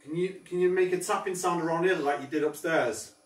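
A man, an investigator thinking aloud in hushed, then excited, bursts inside a dark, empty pub. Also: a little high, a little fast, far from the mic. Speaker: paranormal investigator. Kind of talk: casual on-location commentary. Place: dark abandoned pub, indoors. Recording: echoey room, heard from afar.

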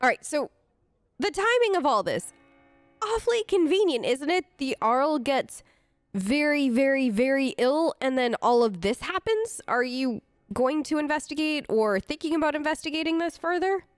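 Gamer girl improvising in-character replies, chatty, very animated pitch. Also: normal speed, faint music behind. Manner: conversational, improvised. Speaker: let's player. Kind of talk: casual role-play game commentary.

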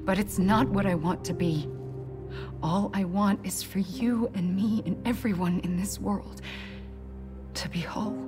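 An actress playing a solemn, secretive woman in a game cutscene, grave and hushed, often slipping into whispers. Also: mid-range voice, steady tone, measured pace, over loud music, close to an everyday voice. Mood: solemn, confessional. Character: solemn, secretive woman confessing her doomsday power. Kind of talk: dramatic game cutscene acting.

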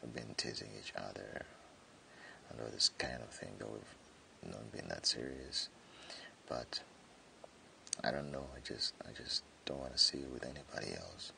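Man acting a very deep, gravelly jealous friend. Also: bright, forceful tone, slight background noise, exaggerated voice. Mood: earnest, confessing. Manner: hesitant, halting, with stammered repeats. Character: jealous tsundere young male friend confessing hidden love. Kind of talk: intimate boyfriend ASMR roleplay.

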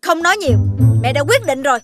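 A woman voicing a strict but loving mother dog in a cartoon, expressive, with a Southern Vietnamese accent. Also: extremely high pitch, quick pace. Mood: stern. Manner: expressive, emotional. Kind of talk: cartoon dubbing. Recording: music behind the voice.

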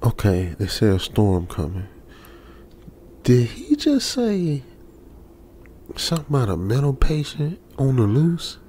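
A man's voice: medium pitch, big pitch swings, unhurried, long pauses, warm tone. A man with an American accent playing an anxious young trucker, muttering nervously to himself in a radio drama. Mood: puzzled, nervous. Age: young adult. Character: anxious young male trucker stranded alone. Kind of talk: ASMR radio drama monologue. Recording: faint background noise.

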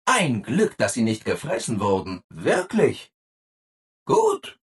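An actor playing a relentlessly cheerful, eager-to-please robot assistant in a video game, exaggeratedly upbeat and obliging. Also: male voice, fairly low pitch, wildly swooping pitch, slow, slightly rough, heavily acted. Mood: chirpy, eager. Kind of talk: video game character acting.

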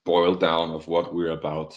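A man's voice: low-pitched, level tone, moderate pace. A young man with a Danish accent, chatting casually as a musician being interviewed. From Denmark. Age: young adult. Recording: dull, lo-fi video call.

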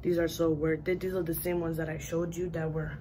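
A young woman with a raspy voice, just up from sleep, chatting casually through a hair product review in a small bathroom. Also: deep voice, flat delivery, a little fast, warm tone, a little hiss or hum. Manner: chatty, rambling with fillers. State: just woke up. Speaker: beauty vlogger. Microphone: phone camera at arm's length.

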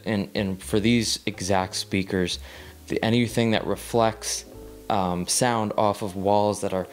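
Rambling young man, slightly low voice. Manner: rambling, with frequent ums and repeated words; gestures with his hands while seated. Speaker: audio gear reviewer. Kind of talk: casual talking-head review. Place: small living room with record player.

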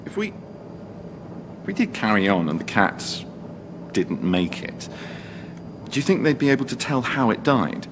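An actor with an English accent playing an anxious, flustered, easily exasperated airline captain in a radio sitcom. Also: male voice, medium pitch, sing-song, a little slow, pauses often, in a large hall, background noise. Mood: anxious, pleading. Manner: fretful, indignant, stammering under pressure.

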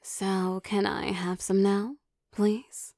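A woman acts out a teasing, possessive vampire girlfriend in an intimate ASMR roleplay. She takes her time, coaxing softly, with a gravelly fry in her voice. The sound is close and very dry. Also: mid-range voice, flat delivery, takes it slowly, no room sound. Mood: relaxed, affectionate. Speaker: voice actor.

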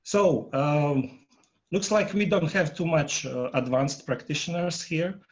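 A man in his fifties, a native Croatian speaker, giving a workshop talk on a video call from home, pausing often with fillers. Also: a little high, lo-fi sound. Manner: halting, many filler pauses, polling the audience. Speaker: workshop presenter. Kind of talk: online conference talk. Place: home room. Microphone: earphone headset on a video call.

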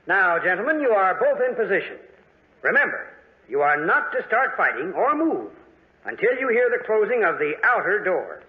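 High-pitched, animated old-time radio man. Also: moderate pace. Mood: formal, solemn. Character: brisk, formal small-town man running a duel. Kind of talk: 1940s radio acting. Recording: tinny 1940s radio recording.

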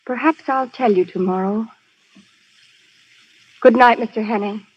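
A woman's voice: on the high side, unhurried, husky. An actress playing a frightened, secretive woman spy in a 1930s radio drama, speaking urgently. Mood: tense, secretive. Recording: dull old radio broadcast.

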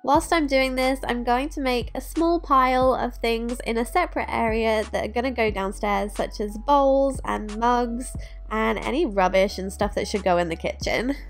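A woman's voice: fairly high pitch, normal speed, faint music behind. A young woman, giving upbeat, instructive narration while cleaning her bedroom. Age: young adult. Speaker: lifestyle vlogger. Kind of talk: cleaning tutorial voice-over and to-camera vlog. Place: bright bedroom, indoors.

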